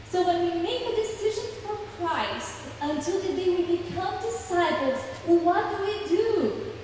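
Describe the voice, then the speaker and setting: A woman from Brazil with a Brazilian Portuguese accent, giving an earnest personal testimony with frequent pauses. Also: high voice, slight background noise. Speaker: church plant speaker. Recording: echoey hall, distant mic.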